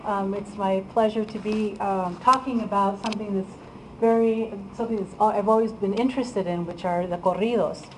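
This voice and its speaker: A woman's voice: medium pitch, steady tone, moderate pace, a little hiss or hum, poor audio. A woman from Iowa giving a chatty, informal talk to an audience as a guest speaker, with frequent ums and laughs. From Iowa, USA.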